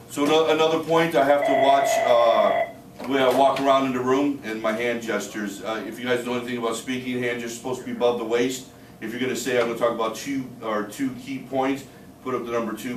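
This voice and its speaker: A man in his thirties giving a student speech, talking plainly with many "uh" fillers and rushing in spurts. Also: lowish voice, a little hiss or hum. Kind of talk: informal classroom speech. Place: small room with a few listeners. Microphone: camera across the room. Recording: echoey room from far off.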